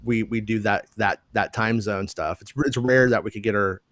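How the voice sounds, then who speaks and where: A man's voice: on the low side, fairly even intonation, moderate pace, a bit bright, no room sound. A man hosting a casual podcast chat over a video call, stumbling over and repeating his words as he jokes. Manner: stammering, repeats words, self-deprecating jokes. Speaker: podcast host.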